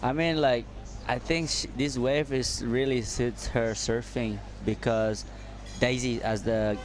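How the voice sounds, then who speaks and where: Friendly man co-commentating surfing, halting. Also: medium pitch, steady tone. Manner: halting, friendly, laughs easily. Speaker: co-commentator and surfer. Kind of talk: live sports commentary. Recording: noisy outdoor ambience with traffic.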